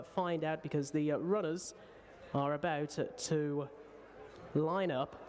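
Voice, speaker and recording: A man giving live race commentary as a racecourse announcer, pausing often between short phrases. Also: fairly high pitch, darkish tone.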